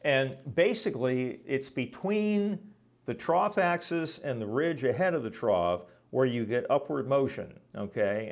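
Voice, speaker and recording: A man giving an explanatory lesson as a weather presenter, talking conversationally and often checking in with the listener. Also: lowish voice. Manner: didactic, conversational, frequently checking with "okay?". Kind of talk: explanatory lecture with visual examples.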